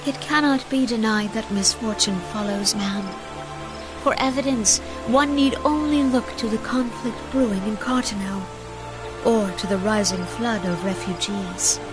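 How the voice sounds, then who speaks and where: A woman's voice: medium pitch, a little slow, lightly acted. A woman voicing a gracious, earnest leader of a forest nation in a fantasy video game, speaking with solemn, archaic formality. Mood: solemn, troubled. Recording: loud music under voice.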